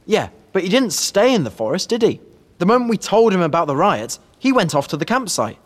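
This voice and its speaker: A man playing a blunt, excitable, easily exasperated teenage wizard in an audiobook drama. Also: high-pitched, a little fast, big-room sound. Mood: excited. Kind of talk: full-cast audiobook drama.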